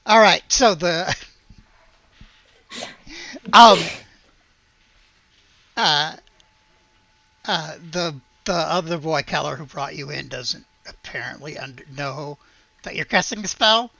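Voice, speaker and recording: A woman running a tabletop game as game master, narrating casually and haltingly, sometimes muttering to herself. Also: deep voice, lively intonation, gravelly, a bit bright, no room sound. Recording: dull, slightly lo-fi audio.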